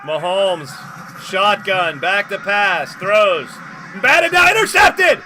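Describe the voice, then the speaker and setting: A man hosting a live sports stream, calling plays and chatting casually with viewers, getting excitable on big plays. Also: sits high, slow, background noise. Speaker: livestream host and play-by-play commentator.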